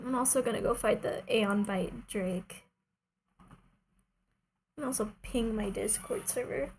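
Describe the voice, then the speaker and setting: Female gaming streamer, fairly high voice, playful self-deprecating chatter, some background noise. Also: measured pace. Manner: playful, self-deprecating, talks to herself and viewers. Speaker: streamer, video game player. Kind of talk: casual gaming livestream chat.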